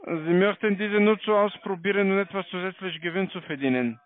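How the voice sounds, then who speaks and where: A man's voice: high voice, brisk, slight background noise. A man with a foreign accent and non-native German, working through a stilted, formal sales script as a call-centre agent. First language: not German. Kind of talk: scripted phone sales pitch. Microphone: telephone. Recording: lo-fi muffled phone call.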